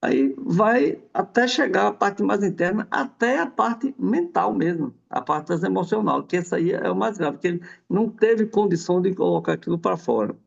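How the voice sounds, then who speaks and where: A man with a gravelly, rough voice talks through an explanation in a loose, rambling way, tagging his sentences with little questions. His voice sits a bit high for a man, and the recording sounds dull and lo-fi. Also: lively intonation, moderate pace, few pauses. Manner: rambling, many tag questions. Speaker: guest explaining homeopathy. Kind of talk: casual explanatory chat.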